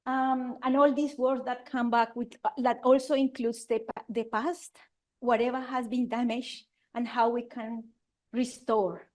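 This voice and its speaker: A woman's voice: fairly high pitch, little pitch movement, darkish tone. A woman in her forties from Colombia with a Colombian Spanish accent, giving an earnest conference talk as an architect, speaking Spanish-accented English with frequent restarts. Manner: earnest, halting, with restarts and fillers. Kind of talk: conference presentation. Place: lecture venue with audience.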